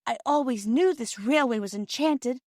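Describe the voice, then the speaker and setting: A woman's voice: on the high side, moderate pace, darkish tone, no room sound, clean studio sound, voice pushed up, close to an everyday voice. A woman voicing an eager, candid young girl in a children's storybook, speaking earnestly. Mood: awed.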